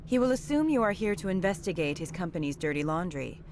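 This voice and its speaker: An actress playing a secretive female alien agent in a game, with a measured, formal, businesslike manner. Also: lowish voice, expressive, a little mellow, slight background noise. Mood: calm, guarded.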